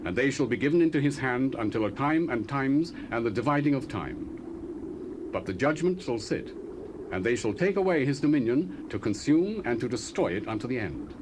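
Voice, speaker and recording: An actor playing the prophet Daniel, a grave and troubled man, reading in a solemn, measured way on a 1970s dramatized scripture recording. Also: medium pitch, lively intonation, lightly acted. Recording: hissy cassette tape.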